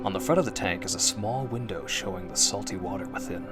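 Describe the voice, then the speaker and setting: A man narrating a scripted story in the second person, in an expressive, suspenseful old-time radio drama style. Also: deep voice, quick pace. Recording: narration over prominent background music.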